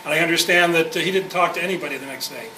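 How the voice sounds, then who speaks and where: A man with a slightly high voice introduces a club program informally, rambling as he goes. He is picked up from a distance in a very echoey room with clear background noise. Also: racing, sharp tone. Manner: rambling, conversational. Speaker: presenter, club member. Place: indoor club meeting room.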